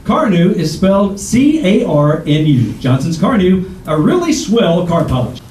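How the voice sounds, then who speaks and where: A man's voice: high-pitched, sing-song, unhurried, a little mellow. A man playing a polished, friendly 1940s radio announcer reading sponsor copy. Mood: friendly, selling. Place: live stage in arts center. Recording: distant, echoey stage, faint noise.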